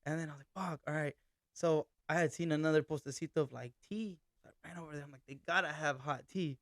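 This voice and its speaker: A young man, a band's singer, chatting casually on a podcast, telling relaxed stories and mixing Spanish phrases and swearing into his talk. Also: a little high, quick pace, dry recording, studio quality. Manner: laid-back storytelling, mixes Spanish phrases and swearing. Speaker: band vocalist and accordion player.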